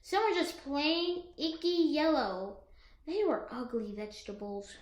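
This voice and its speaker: A woman co-reading a children's picture book aloud in an expressive, emphatic way. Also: sits high, unhurried, echoey room. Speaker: co-reader of a children's story.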